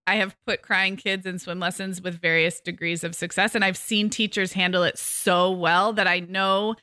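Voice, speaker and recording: A woman's voice: lowish voice, level tone, normal speed, sharp tone, dry recording. A woman co-hosting a parenting podcast, encouraging and affirming her partner's stories. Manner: encouraging, frequent affirming backchannels. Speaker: podcast co-host. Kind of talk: casual conversational chat.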